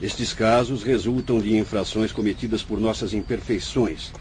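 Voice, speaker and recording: A man's voice: on the low side, steady tone, a little mellow, slight background noise, close to an everyday voice. A man with a Brazilian accent playing a venerable, patient old spirit doctor who explains solemnly, in a religious radio drama. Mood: solemn, instructive. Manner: didactic, measured, solemn explaining.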